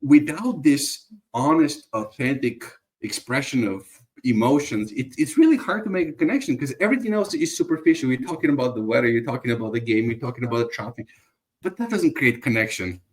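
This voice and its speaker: A man originally from Bulgaria talks as a guest on a podcast. He is reflective and earnest, often restarting his sentences as he works toward his point. His voice sits at an ordinary middle pitch. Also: expressive, brisk. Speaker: guest entrepreneur. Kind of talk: casual conversational interview.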